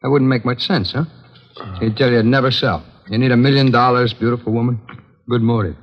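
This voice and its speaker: A man's voice: mid-range voice, fairly even intonation, brisk, lightly acted. An American man acting a casual, remorseless drifter who confesses to killings in a 1950s radio drama, talking in an offhand, rambling way on a dull, noisy old recording. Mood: casual, matter-of-fact. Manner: offhand, matter-of-fact, rambling.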